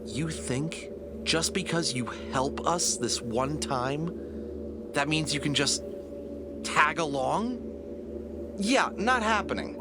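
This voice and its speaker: A man's voice: fairly high pitch, slow, long pauses, over loud music. A man acting in an audio drama as a plucky, sarcastic adventurer who is wary, casual and easily flustered. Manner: wisecracking, excitable, casual.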